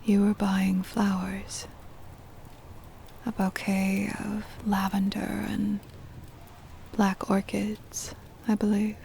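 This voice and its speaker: An ancient female vampire mistress, played by a woman speaks in a low, slow, intimate whisper with a creaky edge. She is possessive and doting, with formal, old-fashioned phrasing in an ASMR roleplay style. Faint noise sits behind the voice. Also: monotone, warm tone. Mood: wistful, longing. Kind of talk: intimate ASMR roleplay, scripted dramatic monologue.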